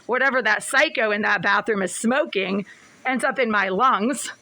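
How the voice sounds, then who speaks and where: A woman with a raspy voice speaking in a rambling, insistent, accusatory monologue to a phone in her apartment bathroom. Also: mid-range voice, normal speed, bright, forceful tone. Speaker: vlogger.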